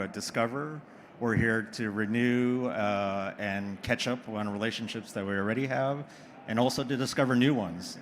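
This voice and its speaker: A man speaking as a venture capital partner on a conference panel, measured and often pausing on fillers. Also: lowish voice, a bit bright, slight background noise. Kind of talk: panel discussion.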